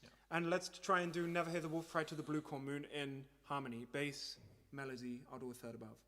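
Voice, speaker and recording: A man's voice: fairly high pitch, little pitch movement, fairly quick, a bit bright. A man hosting a livestream living room concert, chatting casually and organising his fellow musicians. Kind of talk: casual chat between songs, addressing online viewers.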